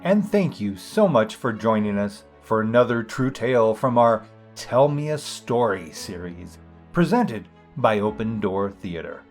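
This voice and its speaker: A man's voice: lowish voice, very animated, a little slow, soft background music. A man reading a scripted introduction as the announcer of a story series.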